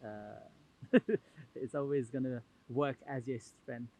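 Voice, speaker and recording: A man from Nepal, a native Nepali speaker, answering interview questions in a casual, halting way with many fillers and restarts. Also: medium pitch, fairly even intonation, mellow. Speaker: podcast guest.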